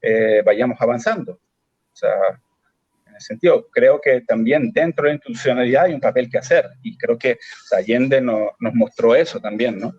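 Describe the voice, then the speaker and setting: A man's voice: medium pitch, a little mellow, breathy edge, no room sound, dull audio. A Chilean man speaking as a guest in a political discussion, thinking aloud with many hesitations and restarts over a video call. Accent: Chilean Spanish. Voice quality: halting, many fillers and restarts.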